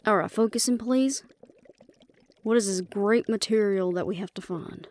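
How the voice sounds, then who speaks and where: A woman's voice: medium pitch, moderate pace, a little mellow, close to an everyday voice. A proud, impatient vampire woman, acted by a female performer in a fantasy audio drama. Her mid-range voice is sarcastic and often incredulous. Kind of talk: fantasy audio roleplay acting.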